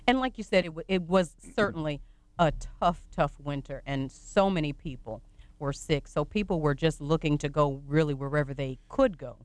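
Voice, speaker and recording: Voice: medium pitch, moderate pace, no room sound. A radio show host interviewing a guest, affirming often and telling a personal story. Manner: affirming, frequent backchannel.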